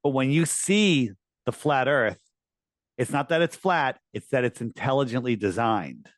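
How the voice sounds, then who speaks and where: A man's voice: lively intonation, unhurried, no room sound, clean studio sound. Earnest man losing his voice. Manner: earnest, emphatic, rising pitch on key points. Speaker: podcast guest. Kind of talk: conversational interview talk.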